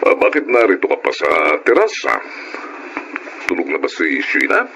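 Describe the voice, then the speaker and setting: Deep gravelly concerned master. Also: male voice, wildly swooping pitch, normal speed, full character voice. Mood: concerned, coaxing. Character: kindly, concerned male employer of the house. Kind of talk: radio drama acting. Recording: noisy radio drama recording.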